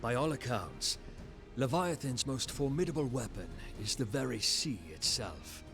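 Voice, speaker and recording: An actor playing a courteous military marshal in a video game, explaining tactics in deliberate, formal, old-fashioned phrases. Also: male voice, medium pitch, animated, lightly acted. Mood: measured, confident. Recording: game music underneath.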